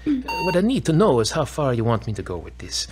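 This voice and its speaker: A man's voice: on the high side, huge pitch swings, a little fast, background noise. A man playing an apologetic hospital doctor in an audio drama, explaining formally and hesitantly. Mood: earnest, reassuring.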